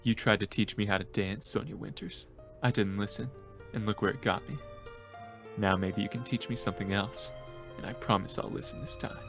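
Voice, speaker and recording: A man's voice: fairly low pitch, fairly quick, creaky, music in the background. A man playing a vain, melodramatic lover and dancer with a grandiose, theatrical delivery in an audio drama. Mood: tender, earnest.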